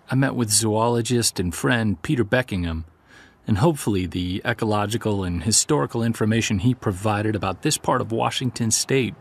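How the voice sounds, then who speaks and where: Low, gravelly male Sasquatch investigator. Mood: earnest, explanatory. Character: earnest male Sasquatch investigator recording a field journal. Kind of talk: audio drama, conversational first-person recorded narration.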